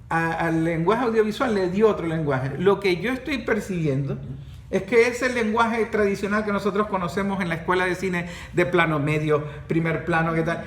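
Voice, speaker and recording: A man's voice: high-pitched, roomy sound. A middle-aged man with a Venezuelan accent, talking animatedly in a casual podcast and often restarting his sentences. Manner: animated storyteller with frequent restarts. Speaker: podcast host and course facilitator.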